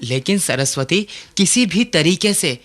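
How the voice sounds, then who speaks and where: An actor playing a concerned, sensible young friend who questions and counsels in earnest, formal diction in a radio play. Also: male voice, high voice, animated, unhurried, a bit bright. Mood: concerned, urging. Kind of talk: Hindi radio play acting.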